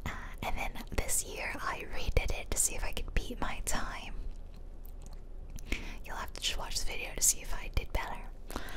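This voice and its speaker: Whispering woman, casual ASMR gamer. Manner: casual, chatty, rambling. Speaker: video game streamer. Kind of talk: whispered ASMR gameplay commentary.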